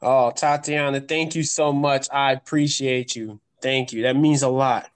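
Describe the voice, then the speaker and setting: A woman hosting a podcast interview, relaxed and friendly. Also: a bass voice, a little slow. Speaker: podcast host.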